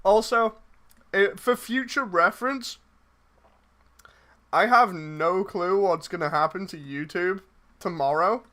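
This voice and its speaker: A man's voice: high-pitched, takes it slowly, pauses often, sharp tone. A man chatting casually on a livestream as he replies to messages, rambling and hesitant, often trailing off mid-thought. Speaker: streamer and project lead casting voice roles.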